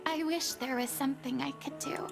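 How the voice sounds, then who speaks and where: A woman's voice: high voice, steady tone, a little fast, creaky. A woman voicing a theatrical young stage performer in a video game, speaking in a formal, flowery and dramatic way. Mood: subdued, regretful. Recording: loud music behind the voice.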